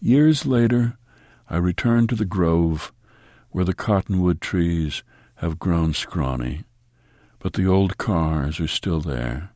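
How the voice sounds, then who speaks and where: A very deep-voiced man reads a scripted radio segment close to the mic. His delivery is slow and measured, each line sinking at its end. The sound is dry, with no room around it. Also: dark tone, no echo. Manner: slow measured reading with falling line ends. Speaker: radio host.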